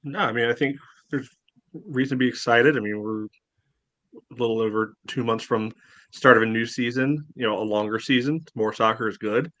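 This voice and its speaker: A man's voice: medium pitch, moderate pace, fairly bright tone, no echo. A man co-hosting a casual sports podcast, with a loose delivery full of "you know". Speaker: podcast co-host.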